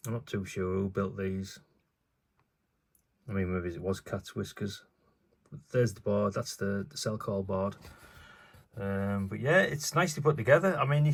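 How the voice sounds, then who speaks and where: A man hosting his own channel, talking casually and offhand while he works, with lots of fillers, recorded on a phone at an indoor workbench. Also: on the low side. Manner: rambling, offhand, many fillers. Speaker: channel host. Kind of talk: casual hands-on commentary.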